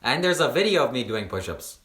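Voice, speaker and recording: Male guest, twenties, earnest and rambling, forceful tone, roomy recording. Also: medium pitch, big pitch swings, brisk, slight background noise. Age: 20s. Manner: earnest, repeats himself, many fillers. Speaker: podcast guest, spiritual teacher. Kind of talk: casual podcast chat.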